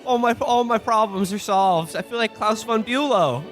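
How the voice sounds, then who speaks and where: Man playing a high-pitched, overjoyed Christmas movie hero. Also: moderate pace. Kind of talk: old Hollywood melodrama radio play. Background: loud music.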